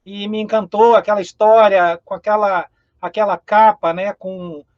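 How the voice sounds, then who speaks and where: Man from southern brazil, high voice, excited rambling storyteller, muffled lo-fi dry sound. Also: normal speed, dry recording. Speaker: guest writer. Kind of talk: casual storytelling chat.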